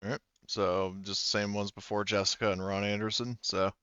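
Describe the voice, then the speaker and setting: A man's voice: fairly low pitch, flat delivery, brisk, a bit bright, clean tone, no room sound, highs cut off. A man running a tabletop game as game master, explaining rules in a rambling way full of fillers.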